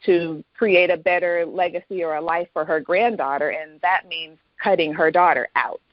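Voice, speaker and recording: A woman's voice: lowish voice, steady tone, normal speed, no echo, highs cut off. A woman speaking as a guest author in a casual interview, explaining things in a conversational way.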